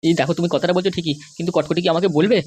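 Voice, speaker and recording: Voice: mid-range voice, fairly even intonation, racing, darkish tone, slightly rough, close to an everyday voice. A performer voicing a compliant but grumbling, worried Bengali husband and father in a comedy cartoon, with a placating, hesitant manner. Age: middle-aged. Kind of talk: animated comedy cartoon dialogue.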